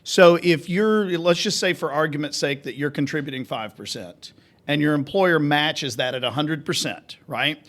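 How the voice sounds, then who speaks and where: A man's voice: on the high side, moderate pace, a bit bright. A man co-hosting a finance radio show, explaining plainly and walking through examples. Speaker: financial advisor, co-host. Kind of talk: casual radio chat.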